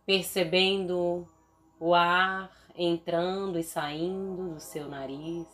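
A woman with a Brazilian Portuguese accent leading a guided meditation in a soothing, deliberate manner, leaving long pauses between phrases. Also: lowish voice, steady tone, a bit bright, faint music behind.

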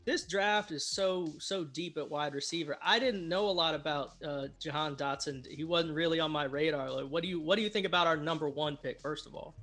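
A man's voice: on the high side, fairly quick, few pauses, a bit bright, soft background music. A man hosting a podcast, upbeat and chatty, asking rambling questions. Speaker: podcast host. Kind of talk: casual podcast chat.

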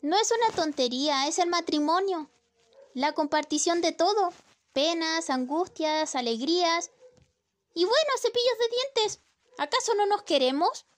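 A young woman playing a very high-voiced melodramatic wife. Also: lively intonation, measured pace. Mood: pleading. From Chile. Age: young adult. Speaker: student radio drama actor. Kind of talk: amateur radio drama acting.

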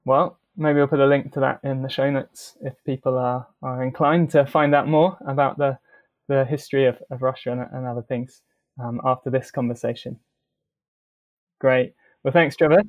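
A man hosting a podcast interview over a video call, relaxed and a little hesitant, with fillers. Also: medium pitch, moderate pace, lo-fi sound. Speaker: podcast host. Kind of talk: friendly interview.

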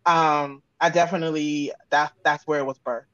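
A woman's voice: low voice, steady tone, moderate pace, fairly bright tone, dry recording, muffled recording. A woman with an American accent chatting casually as an interview guest, talking informally in loose, run-on thoughts. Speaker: guest, audio drama creator and sound designer.

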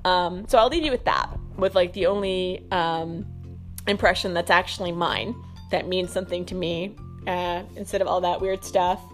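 A woman's voice: lowish voice, steady tone, normal speed, faint music behind. Rambling woman podcast host. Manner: rambling, self-deprecating. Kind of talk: casual stream-of-consciousness monologue.

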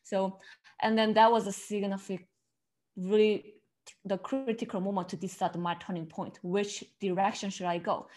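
A woman from South Korea speaks as a podcast guest with a Korean accent. She tells her own story earnestly, in halting second-language phrasing. She often repeats words as she works toward her point. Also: mid-range voice. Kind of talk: personal storytelling in an interview.

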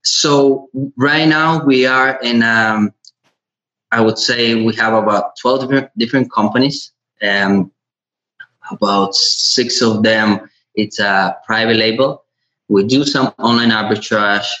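A man from Latvia gives conversational interview answers as a podcast guest, haltingly and often repeating words. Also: mid-range voice, pushed and bright. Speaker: podcast guest, e-commerce entrepreneur. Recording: dull, lo-fi audio.

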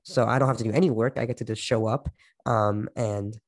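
A man's voice: lowish voice, fairly even intonation, fairly quick. Young man, playful and teasing. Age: young adult. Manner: playful teasing, laughs often. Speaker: podcast co-host. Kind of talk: casual chat. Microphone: video call.

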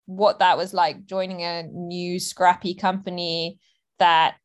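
A woman's voice: lowish voice, flat delivery, takes it slowly. A woman from Hong Kong hosting an interview, asking curious, upbeat questions. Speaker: podcast host.

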